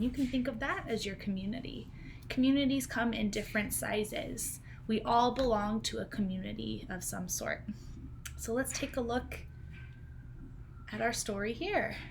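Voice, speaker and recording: Female teacher, thirties, raised pitch and very slow delivery, simple storytime style for little kids, home recording. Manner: questioning, explaining simply to children. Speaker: teacher reading a picture book aloud. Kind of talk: storybook read-aloud for young children. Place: indoors in a home room. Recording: clear background noise, home video call.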